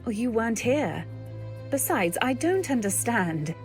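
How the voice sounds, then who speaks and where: A woman narrating and acting a young wife in an old-time radio drama, earnest, anxious, and gentle. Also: on the high side, very animated, measured pace, soft background music. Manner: earnest first-person storytelling, anxious and gentle. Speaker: narrator and actor.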